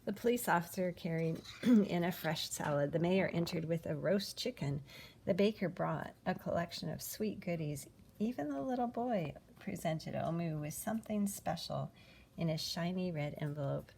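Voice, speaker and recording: Female picture-book narrator, slightly low register, expressive delivery with dramatic emphasis. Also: normal speed, creaky, slight background noise. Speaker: storybook reader. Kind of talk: expressive read-aloud of a children's picture book.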